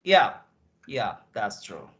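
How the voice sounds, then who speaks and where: A man from Slovakia with a Slovak accent gives rambling interview answers, haltingly repeating and rephrasing as he searches for words. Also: on the low side, sing-song, a bit bright, dull audio. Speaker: interview guest.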